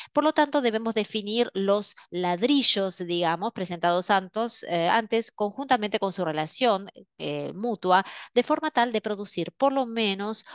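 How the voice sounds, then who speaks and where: Woman interpreter reading out a lecture, smooth clear voice, dry close sound. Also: medium pitch, no echo. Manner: measured, reading a translation aloud.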